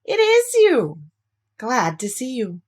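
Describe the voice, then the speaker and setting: A woman acts out a fussy, cagey rabbit from a children's storybook. He sounds prim and evasive, pitched high with very lively swings. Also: slow pace, husky, heavily acted. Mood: relieved, hospitable.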